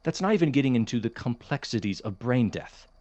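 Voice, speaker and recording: A man playing a measured, kindly science professor in an audio drama, formal and precise, hesitant and gently reproving. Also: medium pitch, expressive, no echo, muffled recording, close to an everyday voice. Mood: sceptical, firm.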